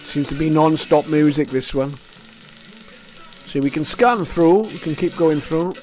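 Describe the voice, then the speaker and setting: A man's voice: a little high, measured pace, a little mellow, rough recording. Man narrating radio tuning, music behind. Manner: unscripted, thinking aloud in short remarks. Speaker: radio hobbyist host. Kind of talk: casual screen-recorded tuning commentary. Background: radio music and signals from the receiver.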